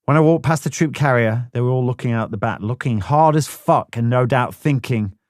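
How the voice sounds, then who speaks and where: Man, audiobook narrator, plain-spoken, swears freely, mid pitch, dry studio sound. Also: fairly quick, no echo. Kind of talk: first-person memoir narration.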